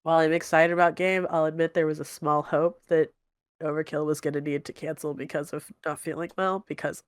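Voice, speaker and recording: A man's voice: on the high side, flat delivery, fairly quick, smooth. Stuffed-up man chatting at the game table. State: stuffed up nose. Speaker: player. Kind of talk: casual tabletop chat.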